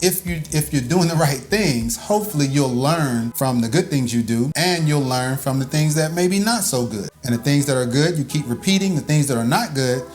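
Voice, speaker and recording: A man's voice: fairly high pitch, lively intonation, normal speed, few pauses, a little mellow, soft background music. A middle-aged man and father, casually explaining leadership ideas to his daughter, teasing her and laughing often. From Birmingham, Alabama. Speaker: father and video host. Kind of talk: casual teaching chat.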